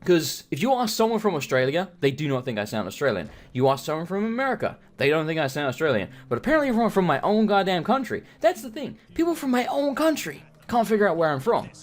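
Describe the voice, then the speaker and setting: Animated, profane British man. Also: sits high, quick pace, few pauses, faint music behind. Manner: profane, joking, animated storytelling. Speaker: gaming video host. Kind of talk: let's play commentary, rambling anecdotes.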